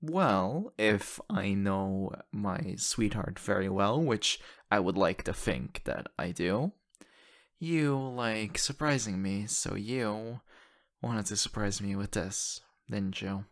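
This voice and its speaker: A man playing an excitable, affectionate young boyfriend in an intimate ASMR audio roleplay, talking haltingly and teasing playfully. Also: on the low side. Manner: halting, repeats words, breathy laughs and sighs.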